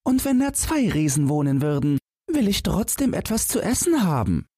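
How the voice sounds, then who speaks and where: A man's voice: high voice, sing-song, moderate pace, dark tone, husky, no echo, clean studio sound, pitched above the performer's own voice, full character voice. A man voicing a brave, cheeky little girl in a fairy tale, plucky and determined. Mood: defiant.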